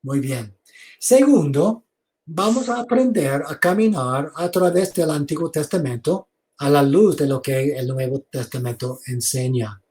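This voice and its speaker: A man giving an online theology lecture over a video call, speaking slowly, repeating words and inviting questions. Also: a little high, mellow. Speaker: seminary lecturer.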